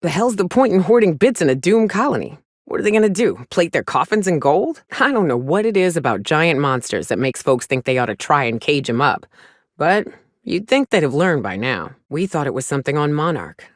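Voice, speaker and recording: A woman's voice: low-pitched, big pitch swings, a little fast, dry recording, clean studio sound. An actress playing a jaded, hard-drinking frontier mercenary woman in a video game, blunt, sardonic and defiant, with salty phrasing. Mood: weary, wry. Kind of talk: video game character dialogue.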